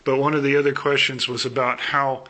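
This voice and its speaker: A man giving a casual, unscripted product demo as a seller, halting and thinking aloud, recorded on a camera phone. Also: mid-range voice, flat delivery, sharp tone. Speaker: private seller demonstrating a vintage radio. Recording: noisy camera phone audio.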